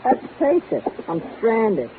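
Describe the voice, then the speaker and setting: A woman's voice: on the high side, wildly swooping pitch, slow, heavily acted. A woman playing a brassy, blunt, slangy stranded showgirl in a 1950s radio detective drama. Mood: sassy, aggrieved. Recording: tinny old radio broadcast, faint noise.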